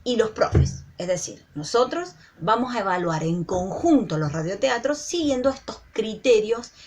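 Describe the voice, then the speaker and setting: A woman's voice: mid-range voice, animated, normal speed, a little mellow, a little hiss or hum. A woman with an Argentine accent explaining an assignment to students as their teacher. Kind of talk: instructional presentation to students.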